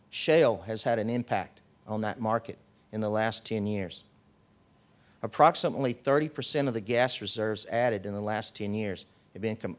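A man giving a conference speech as an energy company executive, in a measured, formal way. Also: medium pitch. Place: hotel conference room.

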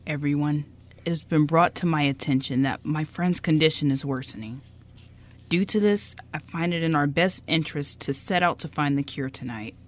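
A woman acts out a polite, earnest young man for an amateur radio play. The voice sits low for a woman and the delivery is deliberate and courteous. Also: natural voice. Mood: grave. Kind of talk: amateur radio drama acting.

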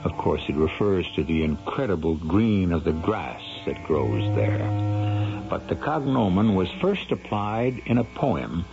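A male host reads scripted radio narration with measured drama. His delivery is deliberate, over faint music. The recording has the dull tone of a vintage broadcast. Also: low voice, sing-song, creaky.

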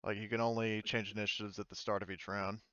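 Clear-voiced man running a game. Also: lowish voice, flat delivery, fast, sharp tone, no room sound, muffled recording. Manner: informal, swearing. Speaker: game master. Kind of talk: running an online tabletop game.

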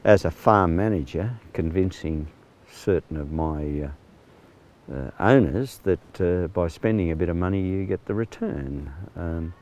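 A man in his sixties with an Australian accent, a farm manager talking plainly outdoors, with many pauses and fillers. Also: sits low, darkish tone. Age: 60s. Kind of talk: on-location interview. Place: outdoors on a rural farm paddock.

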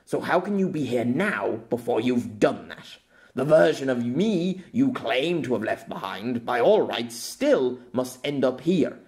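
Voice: mid-range voice, big pitch swings, unhurried, a little mellow. A storyteller voicing a patient, world-weary, sceptical man in an audio novel, reasoning in a dry, pedantic way.

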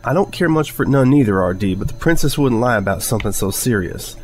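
A man's voice: medium pitch, lively intonation, a little fast, slight background noise, natural voice. Man voicing a folksy farmer mare. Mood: firm. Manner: folksy country dialect. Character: plain-spoken, steady female farmer pony.

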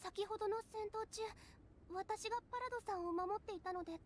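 A woman's voice: extremely high pitch, fairly quick, a little hiss or hum. A woman voicing a timid, apologetic young female alchemist in an anime game, hesitant and stammering. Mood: nervous.

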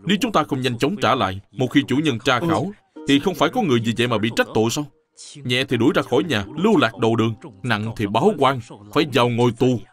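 An actor playing a principled young scholar who speaks formally and moralizes sternly, in an animated drama. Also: male voice, medium pitch, fast, faint music behind, close to an everyday voice.

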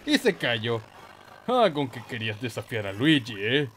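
A man's voice: mid-range voice, big pitch swings, measured pace, fairly bright tone, a little hiss or hum. A man giving casual, slangy, mock-boastful gaming commentary with some swearing. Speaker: gameplay host.

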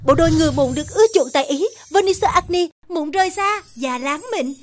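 A woman's voice: sits high, fairly quick. A woman reading a scripted promotional announcement. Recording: loud music under the voice.

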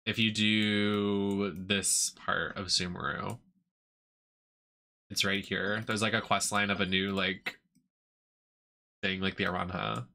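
A man's voice: lowish voice, little pitch movement, takes it slowly, long pauses, pushed and bright. A young man chatting casually on a game livestream with a campy, dramatic delivery. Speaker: drag performer and game streamer. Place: indoor streaming setup.

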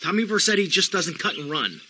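An actor playing a tough, commanding, short-tempered gangster boss in a video game, speaking in a curt, bossy way. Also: male voice, high voice, animated, a little fast, background noise. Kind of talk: video game cutscene acting.

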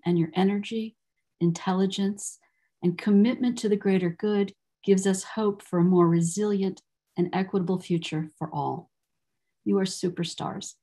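An older woman with a somewhat husky voice gives a formal ceremonial address from a prepared text. Her pitch is middling for a woman and her phrasing is measured and deliberate. She speaks indoors into a computer microphone on a video call. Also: warm tone. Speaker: university program director presiding over a ceremony.

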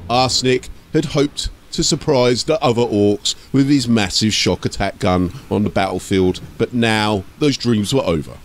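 A man's voice: on the low side, moderate pace, few pauses. A man narrating from a script as an audiobook storyteller and host.

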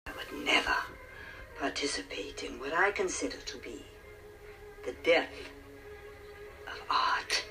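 A man speaking in a solemn, hushed whisper. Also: medium pitch, lively intonation, measured pace, pauses often, distant mic, soft background music, full character voice.

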